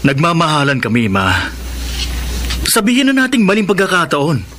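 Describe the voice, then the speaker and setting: An actor playing an unrepentant, self-justifying lover in a melodrama, argumentative and insistent, on a noisy recording. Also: male voice, on the high side, wildly swooping pitch, moderate pace, pushed and bright, exaggerated voice. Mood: defiant, earnest. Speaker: actor in a drama. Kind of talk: melodramatic Filipino radio-style drama acting. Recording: clearly noisy background.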